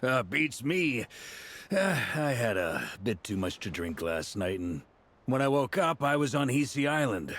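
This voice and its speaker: A man's voice: mid-range voice, sing-song, unhurried, a bit bright, a little hiss or hum. A man playing a jovial, laid-back grown leader in a video game, speaking in a breezy, drawling way. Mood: sheepish. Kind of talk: animated game dialogue.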